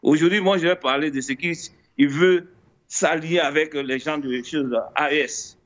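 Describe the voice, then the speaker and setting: A man's voice: on the high side, fairly even intonation, measured pace, a bit bright, muffled recording. A man commenting in a radio debate, talking in a loose, rambling way and addressing others familiarly. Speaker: panelist.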